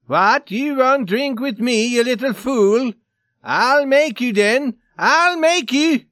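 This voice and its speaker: A man voicing a big, drunken, belligerent Swede in a story reading, shouting boastful demands. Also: fairly high pitch, sing-song, takes it slowly, no echo, clean studio sound, full character voice. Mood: angry, demanding. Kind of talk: dramatized short story reading.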